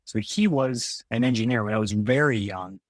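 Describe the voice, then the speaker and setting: A man's voice: medium pitch, moderate pace, dry recording, clean studio sound. An American man in his forties from the Detroit area, talking casually and reflectively as an interview guest, rephrasing as he goes. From Detroit area, Michigan, United States. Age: 40s. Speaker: podcast guest.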